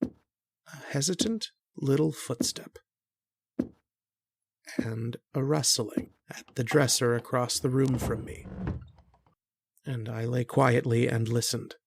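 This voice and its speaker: Man acting a creaky-voiced, earnest storyteller. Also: medium pitch, slow pace, pauses often, no room sound, full character voice. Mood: pensive, hushed. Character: earnest, kindly lodger, a struggling male writer, narrating. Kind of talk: dramatic first-person old-time radio horror narration.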